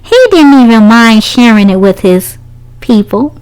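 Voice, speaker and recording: A woman's voice: on the high side, unhurried, darkish tone, clear, resonant tone, rough recording. A woman reading a children's story aloud, narrating expressively for young listeners.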